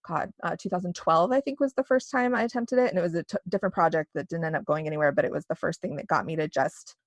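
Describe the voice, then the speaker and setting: Low-pitched woman chatting casually. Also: quick pace, dry recording. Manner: chatty and candid, peppered with "like" and "you know". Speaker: interview guest, novelist and podcaster. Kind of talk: casual conversational interview.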